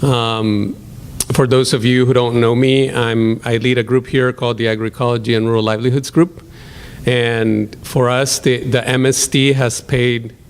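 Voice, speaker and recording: A man with a Salvadoran Spanish accent introduces a guest speaker at a university talk. He speaks at an ordinary pitch, pausing often with ums and starting sentences over. A faint hum runs behind him. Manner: hesitant, many ums and restarts. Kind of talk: lecture hall introduction.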